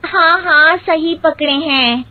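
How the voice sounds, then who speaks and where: An actress playing a naive, cheerful young village daughter-in-law in a comic cartoon story, guileless and literal-minded. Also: female voice, high voice, slow pace, dry recording, narrow, tinny audio.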